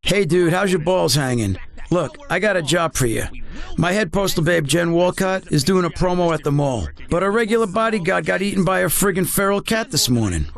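An actor playing a crass, chummy company boss in a video game, talking like a crude buddy. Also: male voice, high-pitched, expressive, brisk. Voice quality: creaky.

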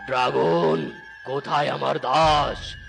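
A man voicing a boastful, scheming male cloud demon in a cartoon story, menacing and booming. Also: fairly even intonation, creaky, a crowd in the background. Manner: slow, menacing, booming villain delivery. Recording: loud background music.

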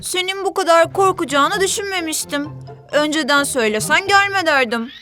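Very high-pitched, stubborn cartoon youngster. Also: female voice, sharp tone, faint music behind. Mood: stubborn, resentful. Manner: defiant, argumentative, quick to take offense. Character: stubborn, selfish, bossy young sea creature. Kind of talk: children's cartoon dubbing.

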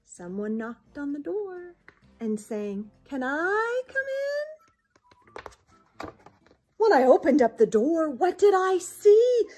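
A woman, reading a picture book to children in a dramatic, expressive way. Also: high-pitched, unhurried, faint music behind. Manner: dramatic, theatrical. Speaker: volunteer storyteller. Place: small indoor room with bookshelves.

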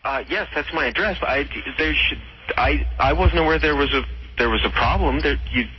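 A man's voice: on the high side, brisk. A man on a phone call, flustered and stammering as he protests. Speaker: caller being pranked. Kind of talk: phone conversation. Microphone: telephone. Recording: muffled, noisy phone call on radio.

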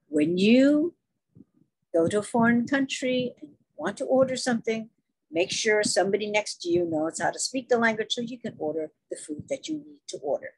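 A woman giving a chatty, impromptu humorous speech at a club meeting, storytelling with quick asides. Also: on the high side, vocal fry, a little mellow, breathy edge, poor audio. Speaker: table topics speaker.

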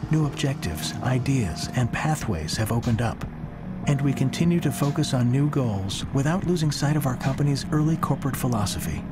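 Guy doing a calm corporate voiceover, medium pitch, takes his time, big music behind him. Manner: measured, deliberate delivery with slow pauses. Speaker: corporate video narrator. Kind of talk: scripted promotional voice-over. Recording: voice-over under loud music.

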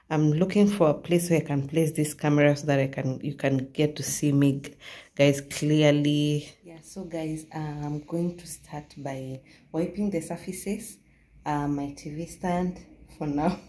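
A young woman from Kenya hosting a casual home vlog, talking softly and keeping her voice low while her baby sleeps. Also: steady tone, unhurried. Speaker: vlogger. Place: living room at home. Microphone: phone camera close up, later set down across the room. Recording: roomy home echo.

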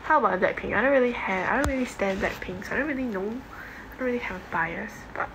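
A woman's voice: a little high, fast talker. A young adult woman with a Singaporean accent chatting casually on a livestream, reading and answering comments in an informal, offhand way. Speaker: livestream host. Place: home bedroom, indoors. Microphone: phone at arm's length. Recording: noisy phone recording in a room.

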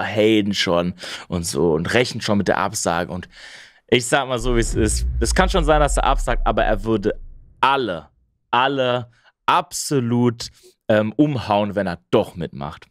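Chatty young gamer, mid-match chatter. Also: male voice, medium pitch, no echo, clean studio sound. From Berlin, Germany. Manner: chatty and enthusiastic, rambling asides. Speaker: gaming streamer. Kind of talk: casual chat while gaming. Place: small home room at a gaming setup. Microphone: studio mic on a boom arm, wearing headphones.

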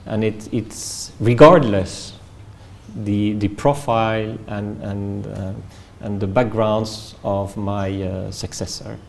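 A man in his fifties from France, with a French accent, answering interview questions slowly and carefully with many fillers, recorded on a lapel mic in a formal room. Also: fairly low pitch, fairly even intonation, creaky, darkish tone, slight background noise. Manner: measured, hesitant with many fillers and restarts. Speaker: ambassador being interviewed. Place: formal reception room indoors.

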